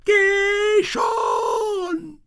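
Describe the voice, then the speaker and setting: A man voicing a spiteful chorus of demon voices with a shrill, screeching delivery. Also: huge pitch swings, very slow, creaky, fairly bright tone, full character voice. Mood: shrieking, taunting.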